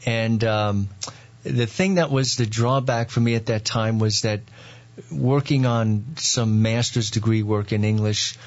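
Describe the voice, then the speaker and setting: A man with a New York accent, a priest, telling his story in an interview with many pauses and fillers. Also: lowish voice, steady tone, dull audio. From Long Island, New York. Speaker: priest, guest.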